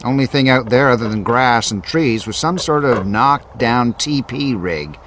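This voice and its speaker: An actor playing an inspector's plain-spoken, down-to-earth sidekick in a radio mystery, talking in a folksy, informal way. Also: male voice, medium pitch, normal speed, fairly bright tone, slight background noise, close to an everyday voice. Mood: curious, plain. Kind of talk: old-time radio mystery drama acting.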